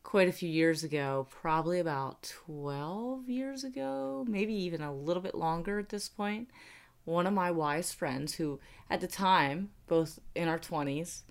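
A woman's voice: lowish voice, lively intonation, unhurried. A woman in her thirties with an American accent, co-hosting a podcast in a casual, chatty storytelling way. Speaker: podcast co-host. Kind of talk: casual personal finance podcast chat.

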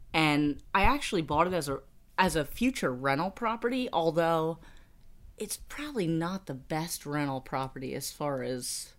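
American lady in her 30s, low voice, casual chatty podcast co-host. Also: a bit bright. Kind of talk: casual personal finance podcast chat.

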